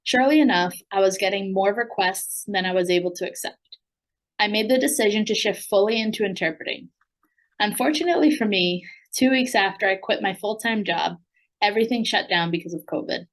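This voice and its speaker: An American woman in the middle of her range reads a prepared speech at a graduation event. Her delivery is earnest and steady, as a program alumna speaking to new graduates. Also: medium pitch, brisk, rough recording. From United States. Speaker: program alumna and language coach.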